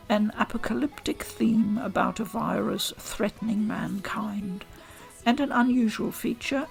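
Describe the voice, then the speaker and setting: A woman reading a scripted game review voice-over in a measured, articulate and deliberate way. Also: fairly high pitch, gravelly, a little mellow, rough recording. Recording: home voice-over with music underneath. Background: game music.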